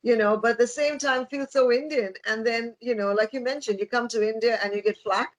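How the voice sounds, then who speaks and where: A woman's voice: on the high side, fairly even intonation, fairly quick. A woman radio host interviewing a guest, encouraging her with frequent agreement. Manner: encouraging, frequent "yeah" and "right". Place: radio studio.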